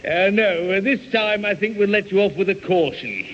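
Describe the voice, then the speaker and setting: A man's voice: high voice, expressive, moderate pace. A man playing an agreeable police inspector in an old-time radio comedy. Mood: affable. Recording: muffled old radio recording with noise.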